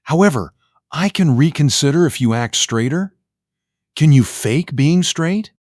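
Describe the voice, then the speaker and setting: A man acts out a pompous university official in an audio play. His pitch swoops widely as he weighs up an applicant in formal, deliberate, condescending phrases. The sound is close and dry. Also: animated, a little mellow, no echo. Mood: condescending, frank. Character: pompous, prejudiced middle-aged male university job interviewer.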